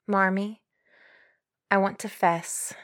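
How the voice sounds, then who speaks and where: A woman's voice: medium pitch, level tone, takes it slowly, lightly acted. An earnest young woman played by an actress in a dramatized storybook. She speaks in a mid-range voice, bashful and self-reproachful, as if confiding in someone she trusts. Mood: ashamed, confiding. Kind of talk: dramatized audiobook character acting.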